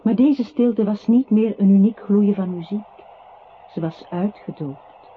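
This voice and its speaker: An actress playing a narrating voice in a literary radio play, observing a composer who withdraws into silence. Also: female voice, mid-range voice, measured pace, natural voice. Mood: sombre. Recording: muffled, noisy old radio recording.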